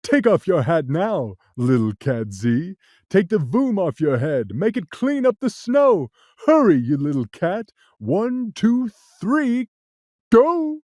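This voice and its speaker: A woman voicing a sly, boastful cat magician in a read-aloud storybook, deep and showy. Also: very animated, takes it slowly, no echo, clean studio sound. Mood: commanding. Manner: deep, loud, showy and sure of himself. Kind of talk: storybook reading.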